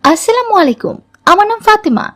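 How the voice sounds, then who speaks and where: A woman's voice: very high-pitched, big pitch swings, brisk, fairly bright tone, heavily acted. A woman voicing an earnest, stubborn teenage college girl in a moral-tale cartoon, speaking in careful, formal sentences. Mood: polite, firm.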